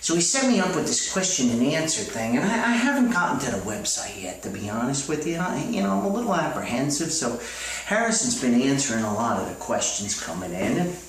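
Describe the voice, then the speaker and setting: A man's voice: medium pitch, sing-song, a little fast. A man playing a chipper, bumbling, delusional self-styled famous author in a comedy skit, stammering and rambling in a folksy, optimistic way. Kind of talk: improvised-sounding monologue comedy skit. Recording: echoey room, far from the mic.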